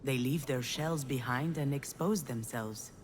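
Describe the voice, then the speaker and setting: An actress playing a failed screenwriter, a cynical, melancholic loner, in a measured, confiding, wry game monologue. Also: female voice, rumbling low, a little mellow, a little hiss or hum. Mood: bleak, matter-of-fact. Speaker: narrator protagonist of a visual novel. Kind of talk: introspective scripted monologue.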